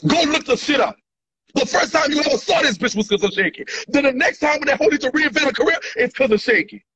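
A man's voice: very high-pitched, animated, fast, pushed and bright. A man, ranting angrily and profanely at his followers in a selfie video, recorded on a phone held at arm's length indoors. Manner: angry, profane, repetitive, gesturing wildly. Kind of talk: heated selfie-video rant. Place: indoor room. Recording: dull lo-fi phone audio.

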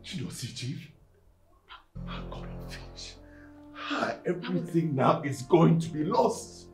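An animated male voice as an exasperated young boyfriend in a drama. He scolds and repeats himself in an echoey room, faint music behind. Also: fairly high pitch, unhurried, gravelly, heavily acted. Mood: bitter, defeated. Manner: scolding, repetitive. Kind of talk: screen drama acting.

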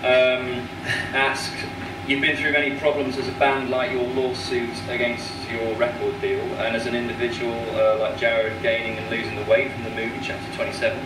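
A man's voice: mid-range voice, flat delivery, moderate pace, loud music behind the voice. A man hosting an interview, reading out fan questions in an upbeat, casual way. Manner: upbeat, laughs often. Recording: roomy, distant, noisy webcast.

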